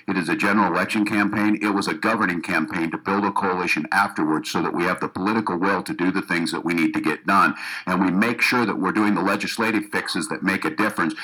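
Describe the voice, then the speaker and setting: A man's voice: sits low, bright, forceful tone, low-quality recording. A man giving an earnest campaign speech to a room of educators, often restarting his sentences. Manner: earnest, repeats himself with restarts. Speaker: political candidate. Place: meeting room with audience.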